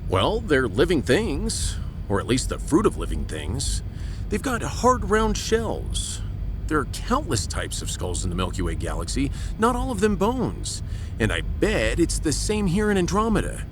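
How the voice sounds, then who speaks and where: A confident starship captain talks with wry, folksy command in a sci-fi audio drama. The voice is a little high and animated, over a clear rumbling ship hum. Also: male voice, unhurried. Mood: wry, reassuring. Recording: rumbling spaceship hum behind.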